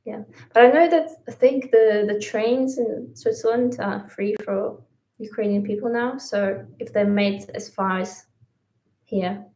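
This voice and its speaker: A woman's voice: medium pitch, unhurried, a little mellow. A woman from Latvia whose first language is Russian, co-hosting a casual livestream and talking with many fillers and restarts. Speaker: livestream co-host. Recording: echoey room.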